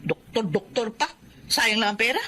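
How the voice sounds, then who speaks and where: Callous penny-pinching Filipino mom, high sharp voice, complaining, radio drama delivery. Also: female voice, moderate pace, slight background noise. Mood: dismissive. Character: stingy, callous, complaining middle-aged mother.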